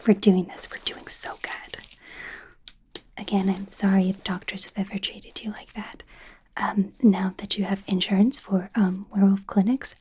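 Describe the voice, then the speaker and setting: A friendly young werewolf doctor played by a woman speaks in an ASMR roleplay whisper, breathy and soft. The tone is dark and relaxed, while the delivery is hesitant, casual and a little flustered. She reassures in an awkward, rambling way. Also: medium pitch.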